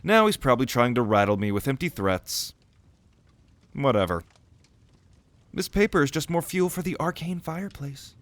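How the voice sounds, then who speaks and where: A man's voice: mid-range voice, very animated, moderate pace, long pauses, no echo, close to an everyday voice. A man playing a cocky, sardonic young prince at a mage academy in an audio roleplay, with a swaggering, theatrical manner. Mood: irritated. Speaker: ASMR roleplay voice actor.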